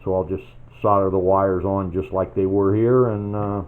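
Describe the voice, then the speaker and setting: A lowish-voiced man comes through a thin, phone-like recording. He talks like an amateur hobbyist casually walking through a repair. His delivery is folksy and unscripted, with hesitant thinking aloud. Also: level tone, normal speed. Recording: tinny phone-like band-limited sound.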